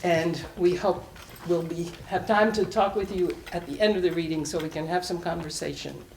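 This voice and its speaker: A woman with a slightly low voice hosts a live event in an informal welcoming speech. They sound some way from the microphone, in an echoing room with faint noise behind. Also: steady tone, moderate pace, gravelly, a little mellow. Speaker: host welcoming audience and introducing readers. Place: indoor library event, away from mic. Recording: distant, echoey room with noise.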